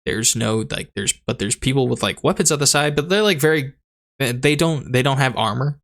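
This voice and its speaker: Gravelly, animated man running a game. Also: mid-range voice. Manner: rambling, halting, laughs often. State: losing his voice. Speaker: dungeon master. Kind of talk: narrating a tabletop game.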